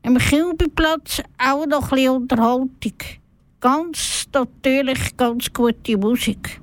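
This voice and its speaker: A woman's voice: high-pitched, slow, dry recording. A woman with a Swiss German accent presenting on radio, telling a personal report in simple words.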